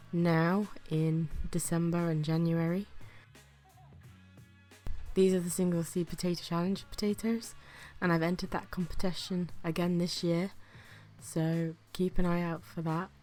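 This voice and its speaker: A woman talks through her gardening vlog in a low, flu-roughened voice, her breathing audible. She keeps it chatty and self-deprecating, with the odd whispered aside. Faint music plays under her narration. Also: flat delivery, unhurried, warm tone. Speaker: gardening vlogger.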